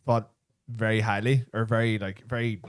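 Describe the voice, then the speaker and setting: A man's voice: fairly low pitch, level tone, no room sound, clean studio sound. A man in his forties co-hosting a gaming podcast, bantering easily and laughing at asides. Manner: laid-back banter, frequent laughs and quick asides. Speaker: podcast co-host. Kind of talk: casual chat reacting to game trailers.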